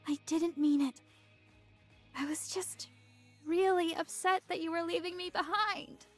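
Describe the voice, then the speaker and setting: A woman playing a vulnerable, hesitant, girlish young woman with halting delivery in a video game cutscene. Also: extremely high pitch, steady tone. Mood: tearful remorse. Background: music.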